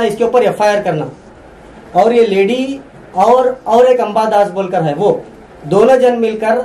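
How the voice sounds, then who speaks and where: A very high-pitched man with a Deccani accent delivers a protest statement as an activist spokesman. He is indignant and accusatory, repeating his demands for emphasis. Faint vehicle noise sits behind his voice. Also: warm tone, poor audio.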